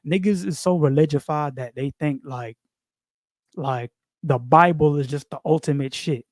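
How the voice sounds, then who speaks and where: Give me a man, rambling casually about spirituality, full of fillers, fairly high-pitched, recorded dry and close. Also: moderate pace, no room sound. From New York. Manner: rambling, repeats phrases, frequent fillers and slang. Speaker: video creator.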